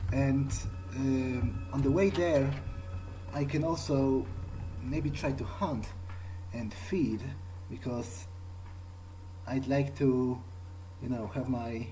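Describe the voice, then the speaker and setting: A man role-playing through a game in character, thinking aloud in a hesitant, halting, musing way. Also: medium pitch, noisy background. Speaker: gaming video player, role-playing in character.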